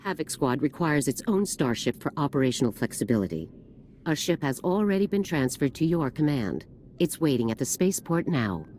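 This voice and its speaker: An actor voices a stern commanding officer in a video game, giving orders in a brisk, authoritative tone. The voice moves freely up and down in a middle register, with a faint hiss in the background. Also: medium pitch, very animated, a little mellow, close to an everyday voice. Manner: brisk, authoritative military briefing.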